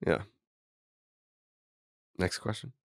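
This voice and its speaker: Bright-voiced man, casual sports podcast host. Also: very slow, no room sound, studio quality. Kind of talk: casual baseball podcast chat.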